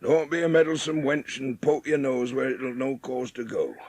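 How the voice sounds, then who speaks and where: An actor playing a gruff, lonely, sour old Yorkshire gardener in a dramatised audiobook, speaking blunt rustic dialect. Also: male voice, medium pitch, moderate pace, a little mellow, a little hiss or hum, lightly acted. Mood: curt, defensive.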